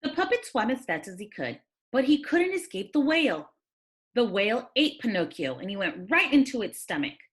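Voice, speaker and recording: A woman reading a children's story aloud as a teacher, with expressive, dramatic delivery. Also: fairly high pitch, moderate pace.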